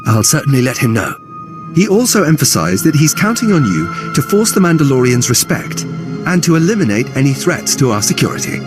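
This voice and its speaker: A man's voice: mid-range voice, big pitch swings, brisk, lightly acted. A man playing a dutiful, formal junior military officer in a video game, eager to please. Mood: deferential. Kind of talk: video game character acting. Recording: dramatic music behind.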